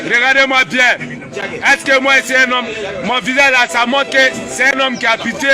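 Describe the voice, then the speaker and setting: An Ivorian-accented man with a very high-pitched voice talks in an insistent, confrontational street register. The clip is informal and agitated, with clear music and crowd noise behind him. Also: steady tone, moderate pace. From Ivory Coast.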